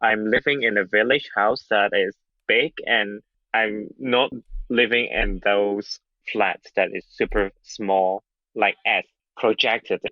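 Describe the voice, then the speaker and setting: A man's voice: on the low side, fairly even intonation, slow pace, dry recording, dull audio. A man from Hong Kong with a Hong Kong accent, a podcast guest chatting casually, hesitant with many fillers and frequent laughs. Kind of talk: casual chat.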